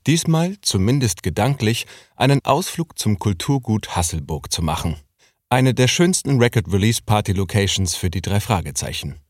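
A man's voice: on the low side, a little mellow, dry recording, clean studio sound. A man reading a scripted podcast introduction as an announcer.

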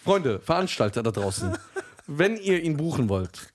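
Young male podcast host, joking storyteller, animated and a bit high. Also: moderate pace. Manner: joking storyteller, laughs through lines. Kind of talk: casual banter.

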